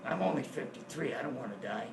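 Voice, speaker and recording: A man in his fifties with a very gravelly voice speaks bluntly and with passion. He sounds far from the mic in an echoey room, with noise behind. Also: mid-range voice, lively intonation, quick pace. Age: 50s. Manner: impassioned, blunt. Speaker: patient. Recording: echoey, distant, noisy.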